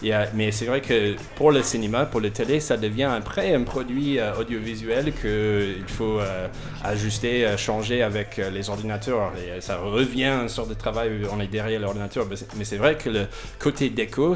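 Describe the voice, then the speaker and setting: A man hosting a casual radio interview, with a hesitant, halting way of talking and sentences that trail off. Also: on the low side, soft background music. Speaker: radio host. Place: radio studio.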